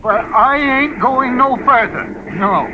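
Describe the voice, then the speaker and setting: A man playing a stubborn, grumbling old rustic farmhand in a radio drama, folksy and indignant. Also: takes it slowly. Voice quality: high-pitched old man's voice. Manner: folksy, indignant, plain-spoken. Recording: dull, faintly noisy old radio recording.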